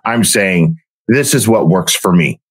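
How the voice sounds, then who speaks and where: A man livestreaming a casual monologue in a halting, hesitant, rambling way. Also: low voice, slightly rough, dry recording. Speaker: livestreamer, online creator.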